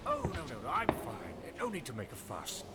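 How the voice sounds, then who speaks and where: A man's voice: medium pitch, wildly swooping pitch, normal speed, heavy background noise. An actor playing a humble, kindly older king and father in an audio drama, self-effacing, folksy and eager to please. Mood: flustered.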